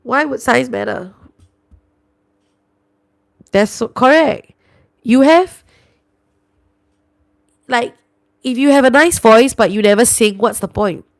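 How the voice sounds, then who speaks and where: A woman's voice: on the high side, slow, gravelly, long pauses, dry recording, studio quality. A young woman with a Singaporean English accent, chatting casually on a livestream and reacting to viewer comments. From New Zealand and Singapore. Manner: chatty, reacts aloud to comments, sprinkles lah and lor. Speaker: livestream host.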